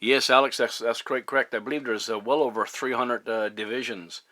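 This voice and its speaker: A middle-aged man from Newfoundland, Canada, chatting casually as a CB radio operator, courteous and halting in his phrasing. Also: mid-range voice, fairly even intonation, pushed and bright, no echo. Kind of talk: casual radio contact chat. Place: at a CB radio station. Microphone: radio microphone.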